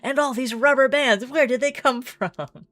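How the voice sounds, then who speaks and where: A woman's voice: high-pitched, moderate pace, fairly bright tone, no room sound, clean studio sound, faint music behind. A woman streamer doing chatty game playthrough commentary, reading text aloud and musing as she goes.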